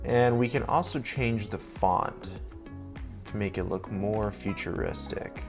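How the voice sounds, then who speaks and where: Upbeat man walking through editing software. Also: fairly low pitch, takes it slowly, over music. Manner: upbeat, step-by-step explaining. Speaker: video editor and tutorial host. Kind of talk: sponsored software tutorial walkthrough.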